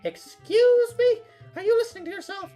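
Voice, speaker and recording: A woman voicing a bratty, hot-tempered teenage anime girl in a video game, sharp-tongued and indignant. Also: very high voice, normal speed, faint music behind, pitched above the performer's own voice. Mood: angry, scornful. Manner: snappy, indignant, taunting. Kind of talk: visual novel character voicing.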